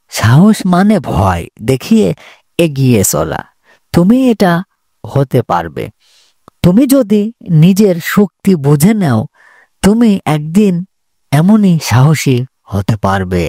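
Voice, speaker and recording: A patient uncle figure, played by a man, in a children's moral cartoon. He speaks very slowly with lively rises and falls, reassuring and instructive. The sound is dry. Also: fairly high pitch, dry recording, full character voice. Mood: encouraging. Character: patient, encouraging uncle mentoring his young nephew.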